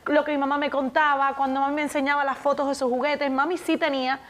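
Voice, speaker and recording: A woman's voice: high-pitched, flat delivery, fast, a bit bright. A woman in her twenties co-hosting a studio talk show, speaking earnestly and with emotion, on a lapel mic. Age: 20s. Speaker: co-host. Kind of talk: casual chat. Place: TV studio set.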